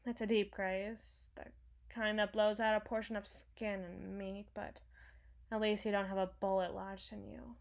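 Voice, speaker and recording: A woman's voice: mid-range voice, gravelly. A woman playing a brash, sarcastic, protective villain's henchwoman and field medic in an intimate, dramatic audio roleplay. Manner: sardonic, dramatic, swings from hushed muttering to outbursts.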